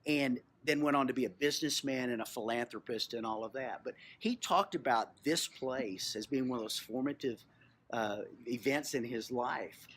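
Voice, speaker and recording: A middle-aged man from Texas tells a story in an informal, reflective way. He pauses and repeats phrases as he goes. There is clear ambient noise behind him, as on a location recording. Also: mid-range voice, a bit bright. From Texas, United States. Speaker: museum storyteller and co-host. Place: on location at a historic lodge.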